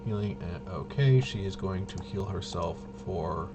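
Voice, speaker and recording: A man's voice: low-pitched, measured pace, heavy background noise. A man giving casual play-by-play of a tabletop roleplaying game, muttering and thinking aloud as he goes. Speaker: solo tabletop game player and host.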